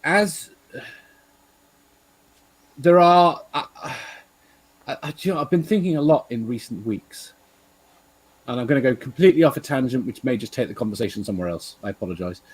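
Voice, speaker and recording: A man with an English accent in casual, rambling discussion, halting and repeating himself, swearing freely. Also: medium pitch, expressive, breathy edge, poor audio. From England. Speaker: talk show participant.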